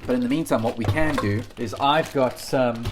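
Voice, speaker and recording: A man's voice: mid-range voice, moderate pace, background noise. A man, hosting a casual cooking video in a home kitchen with chatty, self-deprecating jokes. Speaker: cooking show host.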